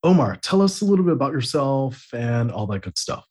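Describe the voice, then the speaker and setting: A man's voice: mid-range voice, fairly quick, breathy edge. A man hosting a podcast, narrating with wry asides and then interviewing a guest in casual chat. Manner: wry, laughs often, animated questioning. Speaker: podcast host.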